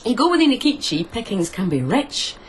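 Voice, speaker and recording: Shrewd farm widow, radio drama, mocking, bossy, very animated pitch, faint background noise. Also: female voice, brisk. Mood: scornful, amused. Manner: mocking, forthright, laughs as she talks.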